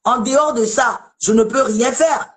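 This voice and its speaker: A woman's voice: on the high side, unhurried. A woman from Togo hosting a casual live debate, chatty and emphatic, often repeating herself. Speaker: live show host.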